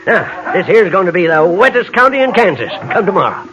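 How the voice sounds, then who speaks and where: A man's voice: sits high, sing-song, a little fast, highs cut off, heavy background noise. An actor playing a fat little boastful rainmaking con-man in an old radio western, with folksy rural American Western patter. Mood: sly confidence. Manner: folksy salesman patter, drawling dialect. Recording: vintage radio drama recording with music.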